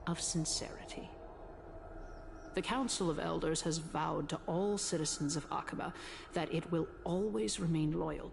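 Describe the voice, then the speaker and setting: A woman's voice: deep voice, gravelly, slight background noise, heavily acted. A woman voicing an imperious, scheming council elder in a video game, with formal, grave, oratorical delivery. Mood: probing, stern.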